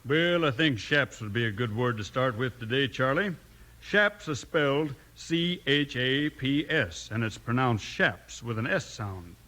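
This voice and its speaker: A man's voice: mid-range voice, fairly bright tone. A man working as a co-announcer on a 1950s children's radio show, explaining and selling in a folksy way. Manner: folksy, explanatory, dropped syllables.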